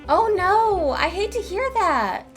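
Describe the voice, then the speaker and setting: A woman's voice: very high-pitched, animated, takes it slowly. A woman game streamer chatting casually and playfully with her live audience in an informal way. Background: game music.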